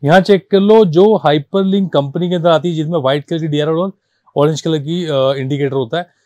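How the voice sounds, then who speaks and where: A man's voice: fairly high pitch, a little fast, darkish tone, no echo. A man doing an informal, insistent product walkthrough as a shop seller, repeating himself and mixing in English numbers and terms. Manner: insistent, repetitive, addressing viewers as brother. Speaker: car accessories shop seller presenting stock.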